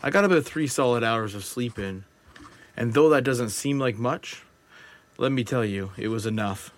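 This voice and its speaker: A man playing a wary, detached survivor of an apocalypse, narrating a journal in a deliberate, reflective audio drama style. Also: medium pitch, natural voice. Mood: reflective, concerned. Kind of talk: scripted first-person dramatic narration.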